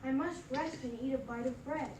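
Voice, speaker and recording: Puppet show role: clever, easygoing, tired stranger woman acting, high-pitched, heard far from the mic in an echoey room. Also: brisk, lightly acted. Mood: weary, polite. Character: friendly, weary traveling stranger, clever and easygoing. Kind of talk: puppet show read from a script. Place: echoey indoor meeting room at a library. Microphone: far camera microphone. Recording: distant mic, echoey room, noisy background.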